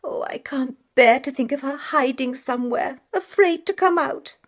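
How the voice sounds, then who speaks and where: A woman's voice: high voice, moderate pace. An actress playing a kindly, fretful older lady's companion in a children's audio drama, earnest and emphatic. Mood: troubled, earnest.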